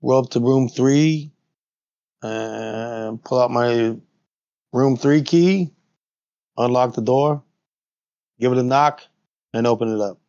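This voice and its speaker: A man's voice: mid-range voice, slow, long pauses, darkish tone, no echo. A man in a casual tabletop roleplaying game with a dry, grumbling delivery, on a slightly muffled close mic.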